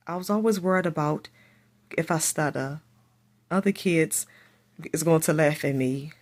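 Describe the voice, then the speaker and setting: A woman's voice: deep voice, creaky. A woman who speaks with a stutter, telling a personal story to camera and repeating her phrases as she goes. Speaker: vlogger.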